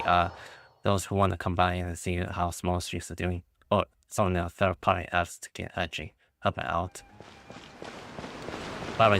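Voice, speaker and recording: Deep, rough-voiced male game streamer. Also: fairly bright tone, a little hiss or hum. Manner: halting, rambling. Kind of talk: casual livestream chat. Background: game music.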